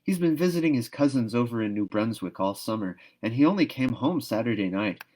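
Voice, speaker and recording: A man's voice: medium pitch, animated, brisk, darkish tone, natural voice. A man voicing a gossipy, smitten young schoolgirl in a storybook.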